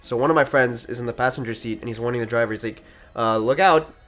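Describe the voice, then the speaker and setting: A man's voice: mid-range voice, fast, fairly bright tone. A young man telling a casual story, giggly and swearing freely as he talks. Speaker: gaming channel co-host. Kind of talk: casual animated storytelling chat. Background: background music.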